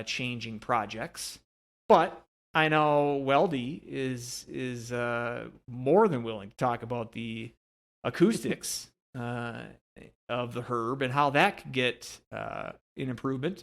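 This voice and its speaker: A man's voice: mid-range voice, vocal fry, fairly bright tone. A man co-hosting a sports podcast, talking casually about hockey in a hesitant, meandering way. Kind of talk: casual hockey commentary chat.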